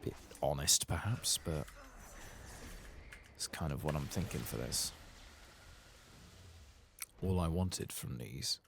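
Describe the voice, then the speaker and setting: Male ASMR game streamer: soft-spoken, slow, heavy vocal fry, hesitant muttering to himself while playing. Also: extremely low pitch, background noise. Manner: murmuring, musing aloud to himself, hesitant. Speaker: gaming channel host.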